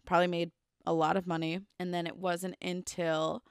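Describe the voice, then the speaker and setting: A woman's voice: on the low side, little pitch movement, normal speed, clear, resonant tone, no room sound, studio quality. A young woman co-hosting a podcast, casually telling a true crime story with lots of "like" and "um" fillers. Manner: chatty, full of "like" and "um" fillers. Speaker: podcast co-host.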